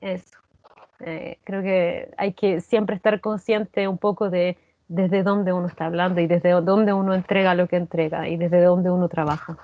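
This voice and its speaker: A woman with a Chilean accent speaking reflectively and earnestly as an interview guest, halting and repeating words for emphasis. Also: fairly low pitch, little pitch movement, no room sound, narrow, tinny audio. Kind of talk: reflective personal conversation.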